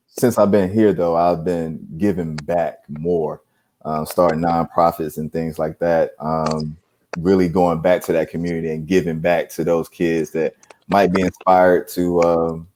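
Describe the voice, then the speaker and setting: A man's voice: sits low, darkish tone, a little hiss or hum. A man from Georgia, talking easily about growing up as an interview guest. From Dixie, Georgia. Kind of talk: interview, personal background.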